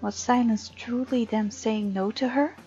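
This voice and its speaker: Gamer girl reading a visual novel out loud, casual and expressive, kinda muffled mic, music in the back. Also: fairly high pitch, a little slow. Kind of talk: reading game narration aloud with casual commentary. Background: game music.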